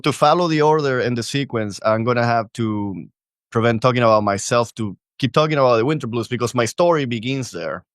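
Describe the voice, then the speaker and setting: A man's voice: medium pitch, brisk, no room sound. A man in his thirties from Venezuela, a coach talking as a guest in a friendly, upbeat way on a studio mic. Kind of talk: casual interview chat. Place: small home studio, indoors. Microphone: studio mic on boom arm.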